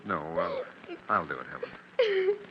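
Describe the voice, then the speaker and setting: Low-voiced, animated, soothing father. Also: measured pace. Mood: reassuring. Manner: soothing, earnest. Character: gentle, trusting father and husband, soothing but defensive. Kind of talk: 1940s radio drama acting. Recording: muffled, noisy 1940s radio recording.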